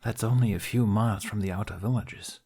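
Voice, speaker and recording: A man voicing a stubborn, earnest young prince in a fantasy audio story, dry and sarcastic with a grumbling deadpan. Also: lowish voice, fairly quick, slightly rough. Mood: worried.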